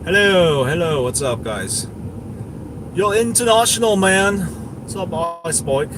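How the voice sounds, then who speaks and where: Rambling man over clear background noise. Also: sits high, expressive, unhurried. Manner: rambling, opinionated, repeats himself. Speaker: tech livestream host. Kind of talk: casual livestream chat, answering viewers.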